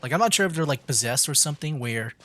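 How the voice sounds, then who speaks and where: A man streaming a video game, commenting casually and thinking out loud as he plays. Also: medium pitch, expressive, fast. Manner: chatty, thinking aloud. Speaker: gaming streamer.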